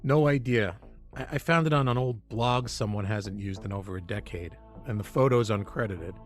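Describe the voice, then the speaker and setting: A man's voice: fairly low pitch, moderate pace, soft background music, lightly acted. A man playing a persistent amateur researcher with a casual, wry manner in an audio drama. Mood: intrigued, wry.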